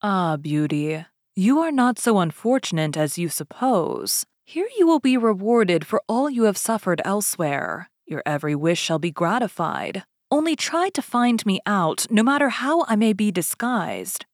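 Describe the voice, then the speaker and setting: A woman reading a fairy tale voices a young prince, loving and pleading. His words come soft and earnest, recorded close with no room echo. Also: on the low side, expressive, measured pace, hardly any pauses. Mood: tender, pleading.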